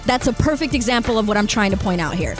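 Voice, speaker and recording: A woman on a sports talk panel who argues quickly and reads a brisk score update. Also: mid-range voice, expressive, over music. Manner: quick, confident, brisk when reading scores. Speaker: news update reader and panelist.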